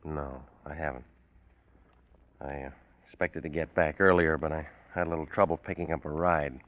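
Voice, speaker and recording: A man's voice: rumbling low, steady tone, moderate pace. An actor playing a cool, cagey male drifter with a casual, laconic way of talking, in 1940s radio style. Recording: dull vintage radio recording.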